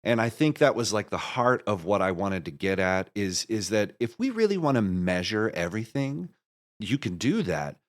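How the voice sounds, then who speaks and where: Male interview guest, lower-pitched voice, casual and enthusiastic, explains his craft like a keen storyteller. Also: sing-song, normal speed. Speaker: interview guest, audio drama creator.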